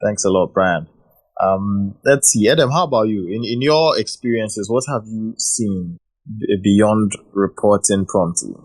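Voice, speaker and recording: A man's voice: lowish voice, a little slow, no echo, rough recording. A man hosting a casual live audio chat, friendly and joking, often repeating words as he gathers his thoughts. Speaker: host. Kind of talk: casual discussion.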